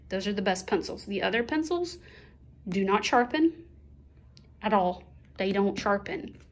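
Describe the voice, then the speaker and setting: A middle-aged woman talks through her shopping haul at home, filmed on a phone pointed at the table. She speaks slowly at a mid pitch, chatty and unhurried, counting items out one at a time. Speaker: vlogger, mother of two. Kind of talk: casual haul chat.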